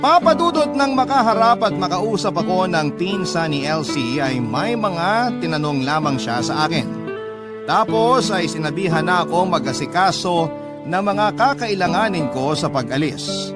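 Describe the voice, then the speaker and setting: Male radio storyteller, fairly high voice, sentimental and measured letter narration over a clear music bed. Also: expressive. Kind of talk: dramatic first-person letter narration.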